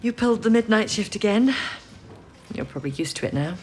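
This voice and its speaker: A woman playing a sensible, educated wartime codebreaker in a period radio drama, speaking in a measured, reassuring way. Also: mid-range voice, lively intonation, darkish tone, slight background noise, close to an everyday voice. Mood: friendly. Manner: measured, explanatory, composed.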